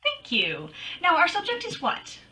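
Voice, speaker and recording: A woman's voice: very high-pitched, huge pitch swings, unhurried, bright, forceful tone, full character voice, poor audio. A woman playing a wry, confident, wisecracking private detective in old-time radio style, breezy and flippant. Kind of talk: 1940s radio acting, narration and dialogue.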